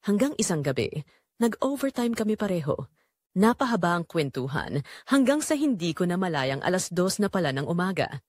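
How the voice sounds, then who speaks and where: A woman playing a remorseful secretary and mistress, narrating her forbidden love in an expressive, confessional audio drama style. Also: lowish voice, normal speed, dry recording, clean studio sound. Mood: wistful, guilty.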